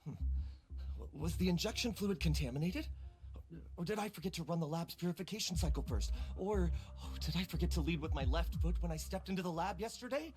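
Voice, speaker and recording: A man's voice: sits high, animated, a little fast, darkish tone. A man playing an arrogant male researcher in a video game, haughty and whiny, prone to stammering when rattled. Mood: panicked. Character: arrogant, easily flustered male lab researcher.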